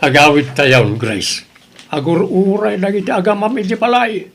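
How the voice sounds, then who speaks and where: A man's voice: high-pitched, normal speed, gravelly, faint music behind. A man playing a stern, concerned older father from the province in an audio drama, with an Ilocano Filipino accent. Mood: troubled, reproachful.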